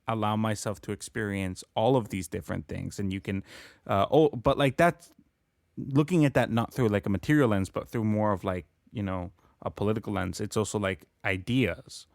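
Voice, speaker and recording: A man's voice: fairly low pitch, moderate pace, no echo, studio quality. A man co-hosting a podcast, chatting casually in a rambling way. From born in Colombia, grew up in Canada. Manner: rambling, peppered with "like". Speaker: podcast co-host. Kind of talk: casual chat.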